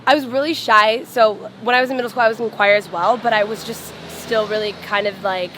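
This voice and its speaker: A young woman talks casually outdoors, her voice pitched a little high and her sentences loose and full of "like" fillers. Faint street noise sits behind her. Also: fairly even intonation, brisk, fairly bright tone. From Los Angeles, US. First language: Farsi. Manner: chatty, full of "like" fillers, laughs often. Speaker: singer, interview guest. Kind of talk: casual interview chat. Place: outdoors on a sidewalk.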